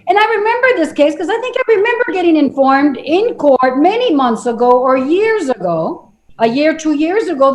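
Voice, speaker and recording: A woman's voice: high voice, low-quality recording. A woman judge questioning from the bench in court, blunt and emphatic in an exasperated way.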